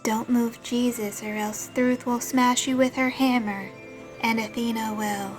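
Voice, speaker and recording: A woman's voice: on the high side, fairly even intonation, unhurried, soft background music, close to an everyday voice. A woman playing a terse, matter-of-fact young mummy goddess in an audio drama. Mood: flat warning.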